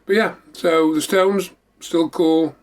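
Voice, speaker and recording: A man's voice: fairly high pitch, fairly even intonation, slow. A man hosting a memorabilia show, chatting casually in a rambling, repetitive way. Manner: rambling, repeating himself. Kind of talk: casual show-and-tell chat.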